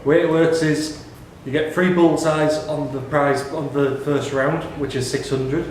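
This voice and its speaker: A man's voice: fairly high pitch, steady tone, a little slow, a little mellow. Echoey male pub quiz question reader. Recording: very echoey room with background noise.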